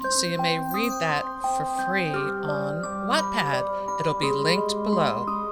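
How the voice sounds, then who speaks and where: A woman's voice: low voice, takes it slowly, loud music behind the voice. A woman hosting a book video, talking casually and candidly, recounting a story with her own opinions mixed in. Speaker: book review video host. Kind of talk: casual chatty book discussion.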